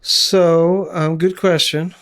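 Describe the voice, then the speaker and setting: A man's voice: on the high side, little pitch movement, slow pace, a bit bright, clear, resonant tone, poor audio. A man in his fifties, a band singer, talking casually in a studio interview with lots of fillers and restarts. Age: 50s. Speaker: guest musician, singer in a band. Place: recording studio.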